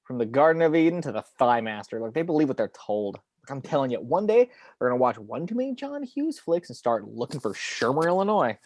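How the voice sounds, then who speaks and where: A man's voice: mid-range voice, big pitch swings, brisk, a little mellow. A man playing a cynical, cocky angel of death in a film script table read, arguing in a slangy, opinionated way. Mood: dismissive.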